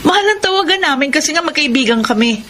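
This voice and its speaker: A woman's voice: high voice, lively intonation, quick pace, pushed and bright, slight background noise. An actress playing an evasive, moody young girlfriend in a Tagalog radio drama. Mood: curt, defensive.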